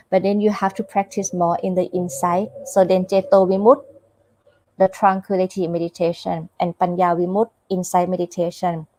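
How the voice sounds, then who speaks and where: A Buddhist nun from Thailand with a Thai accent, giving a teaching with live questions and answers. She pauses often and searches for her words. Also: lowish voice, mellow, no room sound, low-quality recording. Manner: hesitant, searches for words with many fillers.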